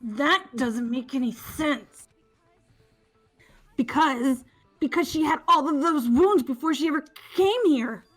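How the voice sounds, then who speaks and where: Woman voicing a timid stammering teen girl for a fan game dub; high voice, slow delivery. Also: soft background music, low-quality recording. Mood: defensive. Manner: stammering, defensive. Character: nervous stuttering teenage schoolgirl.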